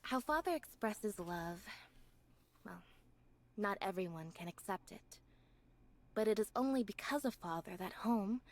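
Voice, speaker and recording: A reserved young woman from a video game, speaks in calm, measured lines. She keeps her delivery understated and slightly aloof, with a medium pitch for a female voice. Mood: reflective, reserved. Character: reserved young woman, composed stage magician's sister, softly aloof. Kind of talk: scripted video game character dialogue.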